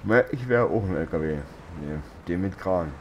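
A man's voice: sits low, animated, moderate pace, darkish tone, dull audio, a little hiss or hum. A man streaming an off-road driving game, chatting casually with a friend and playfully exclaiming and sing-songing as he drives. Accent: German. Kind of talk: casual gaming chat with a friend.

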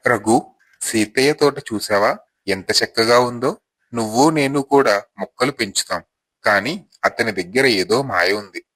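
A man's voice: mid-range voice, a little slow, a bit bright, poor audio. A man playing a fair-minded, admiring village neighbour in a moral storybook tale. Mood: admiring, reasonable.

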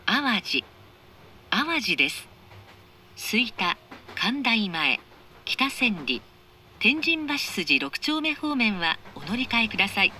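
A woman making formal, measured, carefully articulated scripted train announcements over an onboard speaker. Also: medium pitch, sing-song, pushed and bright, slight background noise. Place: recorded inside a train carriage.

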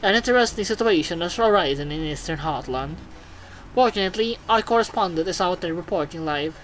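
A woman's voice: fairly low pitch, animated, fairly quick, slight background noise, lightly acted, low-quality recording. A woman voicing a vain, gushing showbiz robot who presents TV news in a video game, with theatrical announcer phrasing. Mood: showy, gushing.

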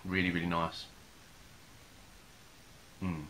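Young guy doing a beer review at his desk, low steady voice, bright tone, slight hiss behind. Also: slow pace, rough recording. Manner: relaxed, hesitant with many fillers and repeats. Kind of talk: casual beer tasting review. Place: small room in front of a plain wall.

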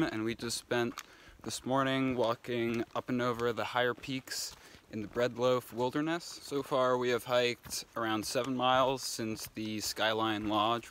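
A man's voice: mid-range voice, slight background noise. A young man giving casual trail updates outdoors in a forest, filmed on a phone held at arm's length. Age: young adult. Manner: relaxed, matter-of-fact updates while hiking. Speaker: hiker vlogger.

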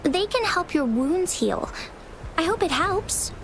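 Gentle girl healer for a game, high and lilting, earnest, faint ambience behind. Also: big pitch swings, slow, a little hiss or hum, heavily acted, low-quality recording. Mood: concerned, tender. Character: gentle, caring young girl herbalist. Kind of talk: video game character dialogue.

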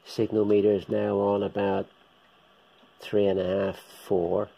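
A man giving a casual, hands-on equipment demonstration as a hobbyist presenter, talking unhurriedly in short, halting bursts. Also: lowish voice, level tone, gravelly, mellow, rough recording. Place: indoors, room with radio gear on a shelf.

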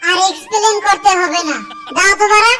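A squeaky, very high-pitched cartoon mango tree, voiced by a woman, bossy and quick to scold. She bickers with mock scorn over blaring background music. The voice is pushed far above a normal speaking range. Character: sharp-tongued female mango tree, bickering wife. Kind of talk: comic cartoon dialogue. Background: loud music.

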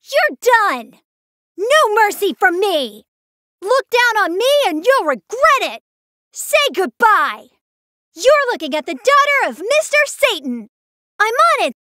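A woman's voice: very high voice, big pitch swings, takes it slowly, pushed and bright, no echo, clean studio sound, full character voice. An American actress voicing a feisty, confident teenage girl martial artist with brash, emphatic delivery for an anime fighting game. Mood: fierce battle shouts.

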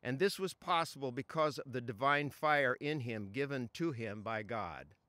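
An older man reads a written tribute outdoors at a stand mic. His voice is bright and smooth, recorded dry and close. He reads in a measured, solemn way. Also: medium pitch, no room sound. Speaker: reader of a friend's tribute. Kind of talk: reading a written eulogy aloud. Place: outdoors at a funeral gathering among trees.

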